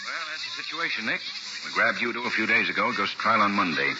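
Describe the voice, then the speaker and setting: A fatherly middle-aged prosecutor: low voice, steady, old lo-fi radio with hiss. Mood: firm. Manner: steady, reassuring, measured. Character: calm, paternal middle-aged assistant district attorney. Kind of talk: 1940s radio acting. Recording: old 1940s radio broadcast, hissy and dull.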